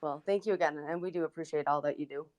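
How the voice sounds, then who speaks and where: A woman with a fairly low voice chats on a live call as co-host. Her delivery is casual and appreciative, with halting phrasing. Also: darkish tone, highs cut off. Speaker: co-host of live group calls. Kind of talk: casual chat in a live group audio call.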